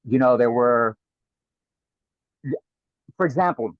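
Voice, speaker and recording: A man's voice: medium pitch, slow pace, dry recording. New man, dry muffled audio. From New York (South Bronx). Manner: earnest, reflective, repeats words as he thinks. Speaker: guest. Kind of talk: casual interview talk.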